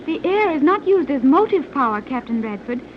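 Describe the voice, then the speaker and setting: High-voiced, coolly precise young woman. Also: big pitch swings, a little fast. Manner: formal, precise, unemotional diction without contractions. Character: young woman submarine pilot, precise, coolly logical, formal. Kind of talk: 1930s radio serial acting. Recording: noisy, dull 1930s radio recording.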